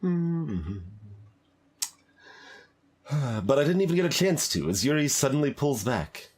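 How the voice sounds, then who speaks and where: A man's voice: medium pitch, sing-song, measured pace, a little mellow, husky. A man streaming a game, reading the narration aloud expressively and adding casual off-the-cuff commentary. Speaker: streamer doing a blind voiced playthrough. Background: music.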